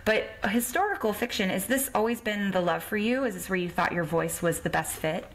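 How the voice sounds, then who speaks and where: A woman's voice: mid-range voice, expressive, fairly quick. A woman with an American accent, hosting a podcast and chatting conversationally as the interviewer. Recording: clear background noise, clicks.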